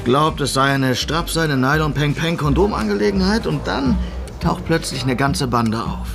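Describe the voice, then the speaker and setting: An actor playing a defiant, streetwise pimp in a noir radio play, talking in a slangy, scornful way. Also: male voice, a little high, lively intonation, normal speed, gravelly, music in the background. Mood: matter-of-fact.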